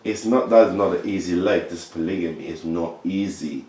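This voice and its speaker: A man's voice: deep voice, normal speed, warm tone. A man in his late thirties talking in an insistent, lecturing way in a casual group discussion, pressing his point on the others. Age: 30s. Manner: insistent, lecturing, keeps saying listen to me and trust me. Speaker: discussion participant. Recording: echoey room.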